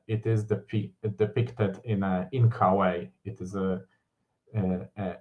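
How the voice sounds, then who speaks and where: Slow, hesitant man presenting. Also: on the low side, a little mellow, highs cut off. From Poland. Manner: hesitant, frequent uh and mm fillers. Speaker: game artist presenting. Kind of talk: conference presentation.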